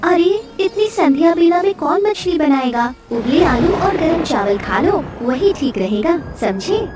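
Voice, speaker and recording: A woman voicing a caring but easily worried fisherman's wife who scolds, in an expressive cartoon story style. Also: very high-pitched, measured pace, far from the mic, music in the background. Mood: grudging, softening. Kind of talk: Hindi cartoon story dialogue.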